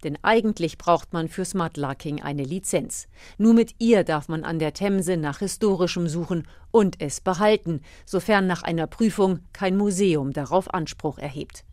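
A woman narrates a radio feature in a fairly low voice. Her delivery is scripted documentary storytelling, recorded close and dry with very little room sound. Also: darkish tone, no echo.

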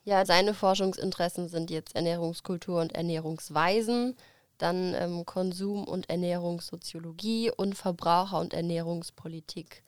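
A woman's voice: fairly low pitch, steady tone, moderate pace, dry recording. A woman co-hosting a podcast, cheerful and leading the chat, laughing often. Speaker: podcast co-host. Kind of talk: casual chat.

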